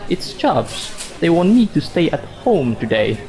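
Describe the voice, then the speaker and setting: A man's voice: fairly high pitch, sing-song, unhurried, darkish tone, rough recording. A man playing a sarcastic, defensive office worker husband in a radio drama, sardonic and emphatic. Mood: tense, bitter. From Indonesia. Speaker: actor in a student radio drama.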